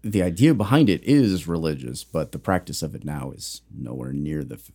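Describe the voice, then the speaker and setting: A man's voice: extremely low pitch, moderate pace, warm tone, slightly rough. A man co-hosting a casual chat, rambling and joking with plenty of fillers and restarts. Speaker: podcast co-host.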